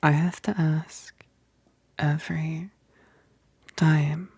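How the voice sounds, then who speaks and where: A woman playing a teasing, affectionate, wistful vampire best friend in a soft, breathy whispered ASMR roleplay, speaking hesitantly. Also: deep voice, little pitch movement, full character voice. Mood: coy, teasing. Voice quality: breathy, husky whisper with gravelly edges. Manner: slow, hesitant, punctuated with soft chuckles.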